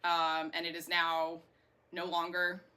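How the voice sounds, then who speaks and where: Bright-voiced American woman in her thirties. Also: on the low side, completely flat delivery, a little slow. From Florida, United States. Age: 30s. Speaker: interviewee, singer and burlesque performer. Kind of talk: casual interview answers. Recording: clear background noise.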